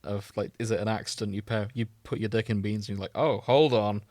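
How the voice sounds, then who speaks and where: A man with a fairly low voice hosts a podcast. He talks casually and jokily, as if among friends. The sound is close and dry, with very little room on it. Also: fairly even intonation, fast talker, a little mellow, no echo. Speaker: podcast host. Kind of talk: casual comedic chat.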